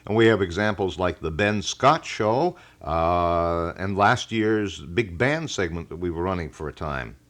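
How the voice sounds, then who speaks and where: A man's voice: deep voice, animated, measured pace, hardly any pauses, fairly bright tone. A man with an American accent hosting a local radio broadcast in a formal, wordy, old-fashioned announcer style. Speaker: radio talk show host. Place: radio studio.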